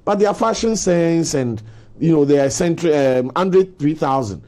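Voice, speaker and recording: A man's voice: a little high, expressive, measured pace, mellow. A man with a Ghanaian accent speaking as a panelist in an opinionated discussion, explaining his points emphatically. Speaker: panelist on a culture talk show.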